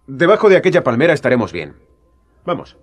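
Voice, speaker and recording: A plainspoken teacher in a radio play, middle pitch, lively intonation, frank and relaxed. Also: male voice, fast. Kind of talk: radio drama acting.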